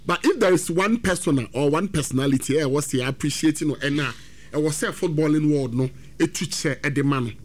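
A man with a Ghanaian accent hosting a football talk segment, animated and switching between English and Twi. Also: a little high, fairly quick. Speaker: sports radio host.

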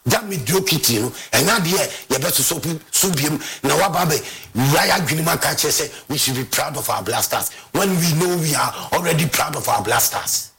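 Man, Ghanaian accent, angry fan rant, high, very raspy. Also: lively intonation, normal speed, hardly any pauses, fairly bright tone. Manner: heated, repetitive, scornful. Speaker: football fan commentator.

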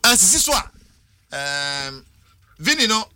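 A man hosts a football talk show in a rapid, animated, sing-song manner. His voice sits high and sounds very bright, with a pitch that swoops all over. The sound is dry and close. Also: clear, resonant tone, no echo. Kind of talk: casual football chat mixing Twi and English.

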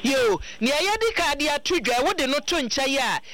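A man with a Ghanaian accent anchoring radio news with quick, conversational handovers. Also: extremely high pitch, very animated, pushed and bright, a little hiss or hum.

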